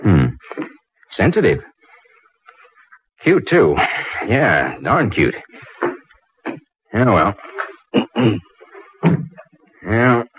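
A man's voice: low voice, husky, full character voice. An actor playing an eager, flustered, smitten young inventor in 1940s radio drama style, hesitant and muttering as he goes. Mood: distracted musing. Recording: muffled old 1940s radio recording.

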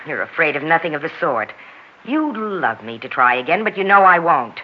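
Embittered, argumentative wife, sarcastic and animated, vintage radio sound. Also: female voice, sits low, moderate pace, slight background noise. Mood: bitter. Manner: sharp, reproachful, sarcastic. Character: bitter, accusing, argumentative unhappy wife. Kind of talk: 1960s radio drama acting. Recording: dull 1960s radio recording.

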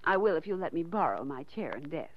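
Lilting, sassy secretary. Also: female voice, sits low, big pitch swings, fast talker. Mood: teasing. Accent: American. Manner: saucy, teasing. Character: sassy, wisecracking female secretary, playfully exasperated. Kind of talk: 1940s radio drama acting. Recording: muffled, noisy 1940s radio recording.

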